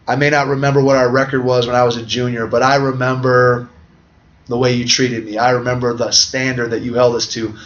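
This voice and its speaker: A middle-aged man hosting a podcast interview, talking reflectively in long, winding sentences. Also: mid-range voice. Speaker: podcast host. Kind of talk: conversational interview. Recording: dull lo-fi audio.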